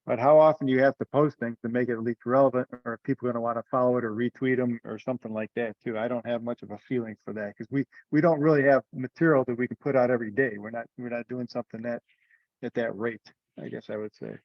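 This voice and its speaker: A man's voice: mid-range voice, fairly even intonation, quick pace, dry recording. A man talking casually in an online meeting, explaining things in a hesitant way. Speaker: meeting participant leading discussion. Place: online conference call. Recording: muffled, band-limited conference call audio.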